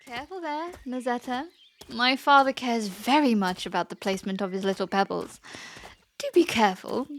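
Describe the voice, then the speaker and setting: A woman's voice: fairly high pitch, normal speed, close to an everyday voice. A woman playing a taunting, mischievous, spoiled young princess in a fantasy audio drama, theatrical and sarcastic. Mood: mocking, teasing.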